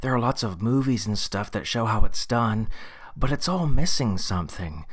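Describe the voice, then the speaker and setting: A man acting an eager, awkward guy, animated delivery. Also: on the low side, normal speed, slightly rough. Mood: earnest, eager. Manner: earnest, slightly hesitant. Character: eager, awkward young man, a disco-obsessed time traveller. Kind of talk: acted dialogue in an audio story. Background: music.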